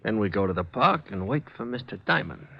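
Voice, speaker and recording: A man's voice: on the low side, sing-song, brisk, vocal fry, highs cut off. A man playing a menacing, short-tempered gangster boss in old radio style, curt and slangy. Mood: cool menace. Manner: curt, tough slangy talk. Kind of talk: old-time radio crime drama acting. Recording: noisy vintage radio recording.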